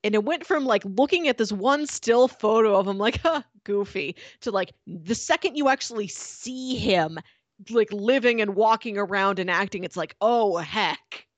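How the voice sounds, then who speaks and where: A woman chatting casually as a podcast co-host, telling stories in an animated, playful way. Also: medium pitch, moderate pace, no echo. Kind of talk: casual chat.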